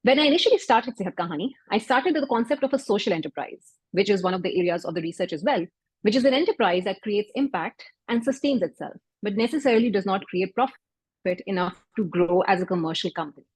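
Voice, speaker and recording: A Pakistani-accented woman speaks in a slightly breathy, husky voice, earnest and measured as she addresses an event audience. Her first language is Urdu, and she now and then restarts a phrase. The sound is close and dry, with no room echo. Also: mid-range voice, animated. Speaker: doctor and health startup founder. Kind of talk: event talk.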